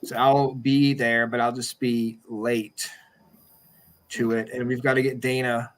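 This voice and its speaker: A man's voice: medium pitch, flat delivery, measured pace. A man hosting a podcast in casual chat, joking and rambling as he goes. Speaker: podcast host. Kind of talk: casual chat, closing a show.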